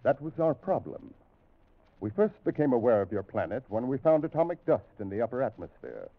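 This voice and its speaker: An actor playing a stern alien spaceship captain in an old radio drama, speaking in formal, measured, imperious declarations. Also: male voice, medium pitch, sing-song. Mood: grave, instructive. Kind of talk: 1950s radio science fiction drama acting. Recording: muffled, tinny old radio recording.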